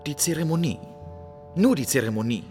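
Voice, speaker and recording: An actor playing a proud, scornful young count in a classical German radio play, speaking formally with biting irony. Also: male voice, a little high, expressive, a little fast, a little mellow, big-room sound, soft background music, close to an everyday voice. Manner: curt, biting irony, formal theatrical delivery.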